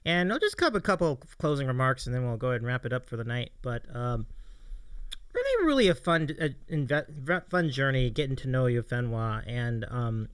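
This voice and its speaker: A man's voice: mid-range voice, sing-song, a little fast, a bit bright, smooth, dry recording. A man hosting a livestream interview show, chatting casually in an appreciative, encouraging way. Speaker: host of a streaming interview show.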